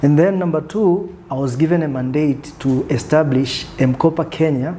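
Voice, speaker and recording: A soft-spoken man, reflecting on his career in a relaxed interview, with many fillers. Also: on the high side, moderate pace, slight background noise. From Tanzania. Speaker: guest, country manager. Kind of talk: conversational interview.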